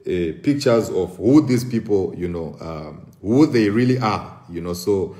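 A man's voice: deep voice, lively intonation, measured pace, warm tone. A man in his thirties talking live to camera indoors, earnest and full of fillers and restarts. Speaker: host. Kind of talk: casual live commentary. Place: indoor room at a table.

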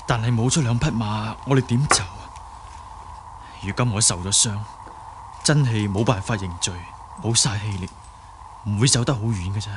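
An actor playing a courteous, wounded young martial hero, earnest, in Hong Kong Cantonese radio drama. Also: male voice, on the low side, normal speed, long pauses, lightly acted. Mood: weary, worried. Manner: polite, earnest. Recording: faint background noise, old radio drama.

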